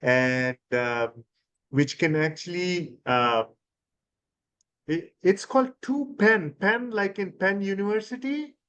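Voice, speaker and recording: A man's voice: sits high, slow, a bit bright, clean tone. A man from India, an ENT surgeon, explaining nasal treatment in an interview with many fillers and restarts. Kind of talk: expert interview answers.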